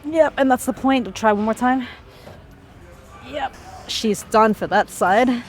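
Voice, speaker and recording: A woman's voice: a little high, measured pace, soft background music. Breathless woman coaching mid-workout. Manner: talks through exercise with effortful sighs and exhales. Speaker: fitness vlogger. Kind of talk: casual workout instruction. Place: gym.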